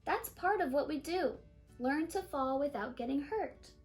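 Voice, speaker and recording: A woman's voice: high voice, animated, measured pace, soft background music. A woman reading the part of a matter-of-fact older sister in a children's storybook. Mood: reassuring.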